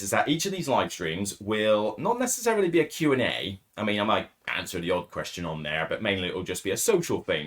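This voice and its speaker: A man with a raspy, hoarse voice, hosting a solo podcast in casual, rambling talk full of fillers and self-corrections. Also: on the low side. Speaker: podcast host. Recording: distorted, too-loud mic.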